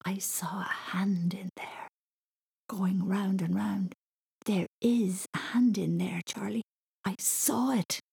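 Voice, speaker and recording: A woman acts out an excitable little storybook boy in pyjamas, pitched up high and a touch gravelly. He is easily frightened and cheeky, and he exclaims and stammers with great indignation. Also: moderate pace. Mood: scared whispering. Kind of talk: children's story reading.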